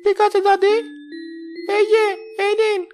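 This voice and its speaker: An eager, kind village child from a cartoon moral tale. The voice is extremely high and earnest, with faint music underneath. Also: female voice, completely flat delivery, measured pace, darkish tone. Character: eager, caring young grandchild in a village cartoon. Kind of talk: animated moral story voice acting.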